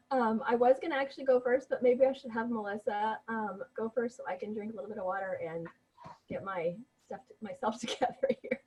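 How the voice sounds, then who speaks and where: A woman's voice: a little high, a little mellow, slightly rough, low-quality recording. A woman losing her voice, co-hosting a team video call with upbeat, encouraging talk full of fillers. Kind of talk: casual motivational team talk.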